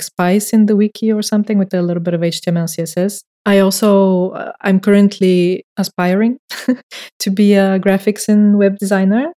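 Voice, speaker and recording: A woman's voice: medium pitch, warm tone. A young woman with a Bulgarian accent, a non-native English speaker, chatting in a podcast interview with hesitant, thoughtful phrasing and small laughs. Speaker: director of records and research.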